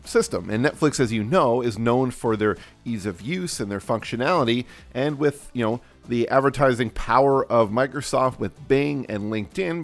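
A man with a cold, sounding slightly nasal, hosts a solo podcast in a casual coaching manner with many fillers and restarts. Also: mid-range voice. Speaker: podcast host.

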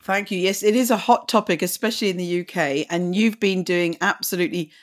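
A woman hosting a health podcast, hoarse and croaky from little sleep, reading a guest's introduction and asking interview questions. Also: on the low side, brisk. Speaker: podcast host.

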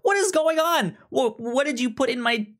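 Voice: high voice, lively intonation, moderate pace, a bit bright. A podcast co-host in casual book discussion, speaking hesitantly and often repeating words and syllables.

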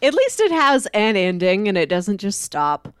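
A woman talks as a podcast co-host in casual, conversational discussion. Her voice sits at a middle pitch and is recorded dry, with no room sound around it. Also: very animated, normal speed, clear, resonant tone, no room sound. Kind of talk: casual comic-book discussion.

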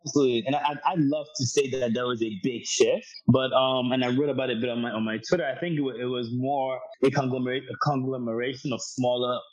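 A husky-voiced young man from Ghana talks through his path as a podcast guest, earnest and chatty, often starting a phrase twice. The sound is dull and lo-fi, as if his mic cuts the highs. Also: steady tone, fast, few pauses, darkish tone. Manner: earnest, talkative, frequent restarts. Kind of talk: storytelling interview answer.

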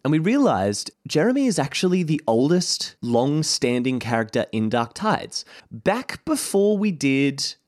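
A man's voice: a little high, big pitch swings, measured pace, no echo, studio quality. A man hosting a podcast, speaking to listeners in an informal, rambling introduction and often correcting himself. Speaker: podcast host introducing an archive episode. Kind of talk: casual spoken introduction to listeners.

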